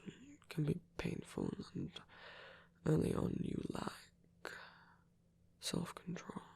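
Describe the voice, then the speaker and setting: Man acting a whispering, breathy gentle vampire priest. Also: on the high side, exaggerated voice. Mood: tender, confessional. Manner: hesitant, measured, genteel phrasing. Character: gentle, soft-spoken vampire priest, male, pacifist, tender. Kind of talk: ASMR roleplay, intimate whispered monologue.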